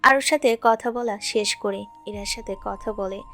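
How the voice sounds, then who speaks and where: A woman's voice: medium pitch, moderate pace, a bit bright. A woman reading scripted narration for an audio story. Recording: background music throughout.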